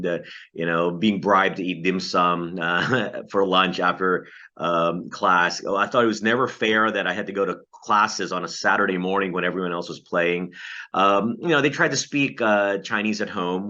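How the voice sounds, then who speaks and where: A man speaks over a video call, his voice very low and bright-edged. He answers questions in a reflective, halting way, filling pauses with ums and uhs. The audio is dull and lo-fi. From Philippines. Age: 40s. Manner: hesitant, many ums and uhs, laughs lightly. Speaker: interviewee, pastor. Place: at home in the Philippines.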